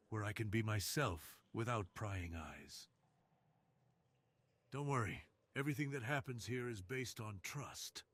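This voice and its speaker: A man voicing an alluring, dominant crime boss in an intimate ASMR roleplay, speaking in a slow, teasing, hushed way. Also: mid-range voice, breathy edge. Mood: seductive, assured, then coaxing, reassuring. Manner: slow, teasing, hushed delivery that drops into whispers. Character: alluring, dominant, seductive male crime boss with a tender side.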